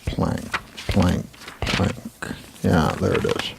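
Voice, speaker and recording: A man playing a proud, weary literature professor in a 1980s audio drama, self-assured but a little rambling, with a gravelly, husky voice. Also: deep voice, sing-song, extremely slow, background noise, full character voice. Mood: polite, searching. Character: stubborn, weary male Shakespeare professor, proud of his expertise.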